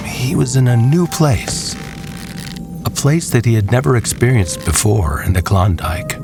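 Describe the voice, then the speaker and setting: A man with a very low voice tells a scripted tale at an unhurried pace. He draws his phrases out for dramatic weight, each one measured. Music plays clearly behind the narration. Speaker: storyteller.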